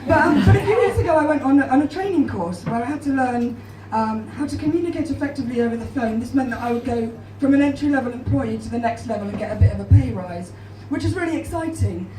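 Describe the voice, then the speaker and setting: A woman in her twenties with an English accent and a hoarse, croaky natural voice, doing a self-deprecating stand-up set with a deadpan delivery. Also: high-pitched, fast talker, few pauses, background noise. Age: 20s. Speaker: stand-up comedian. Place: small comedy club stage, live audience. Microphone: handheld stage mic, filmed from far back in the crowd. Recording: echoey club recorded from far off. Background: audience laughter.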